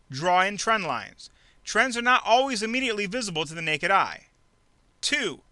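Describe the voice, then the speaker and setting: A man reading a scripted instructional narration in a clearly enunciated, measured, teacherly way. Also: high voice, bright, forceful tone, no echo. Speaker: narrator of an instructional trading video. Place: close dry voice-over recording.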